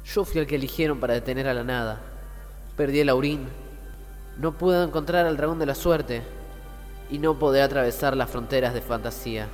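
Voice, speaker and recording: A man's voice: medium pitch, normal speed, close to an everyday voice. A man playing a guilt-ridden, earnest young hero in a radio drama, with a pleading, self-blaming manner. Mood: despairing. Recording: long reverb with music behind.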